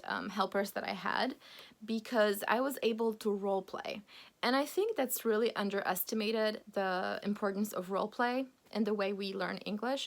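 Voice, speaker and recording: A woman's voice: medium pitch, gravelly. A young woman from Poland, a native Polish speaker, giving a casual, encouraging English lesson to camera, laughing often. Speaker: English coach.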